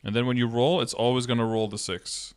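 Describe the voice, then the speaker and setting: A man's voice: medium pitch, little pitch movement, a little fast, smooth. Man casually explaining on a live stream. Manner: explanatory, step by step. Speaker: game streamer. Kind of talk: casual live-stream tutorial, answering chat questions. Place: indoor room, streaming setup.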